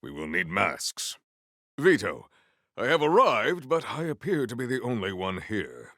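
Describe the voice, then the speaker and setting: An actor playing a proud, formal sci-fi warrior in a video game, speaking in a grandiose, deliberate and earnest way. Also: male voice, medium pitch, wildly swooping pitch, pushed and bright, no room sound, studio quality, full character voice. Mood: stern. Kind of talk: video game character voice lines.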